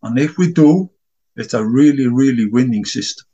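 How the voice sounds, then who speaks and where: A man's voice: mid-range voice, normal speed, mellow, breathy edge, lo-fi sound. Earnest Icelandic-born man, middle-aged. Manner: earnest, repeats words when thinking, non-native grammar. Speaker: discus coach. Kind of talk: interview answer. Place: home.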